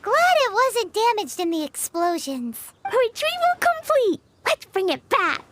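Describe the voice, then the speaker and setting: Very high, bubbly little girl. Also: big pitch swings, a little slow, background noise, heavily acted. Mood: excited, urgent. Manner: childlike, artless, bubbly. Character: tiny, cheerful, innocent little girl, excitable. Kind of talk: video game voice acting.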